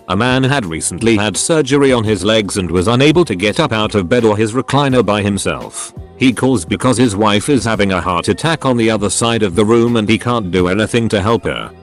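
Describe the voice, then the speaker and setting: A man reading forum stories aloud as a scripted online narration. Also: on the low side.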